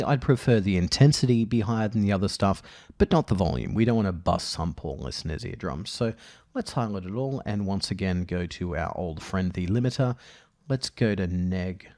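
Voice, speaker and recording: A man narrating a casual software tutorial as its presenter, thinking aloud in a halting way. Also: on the low side. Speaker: audio editing tutorial presenter.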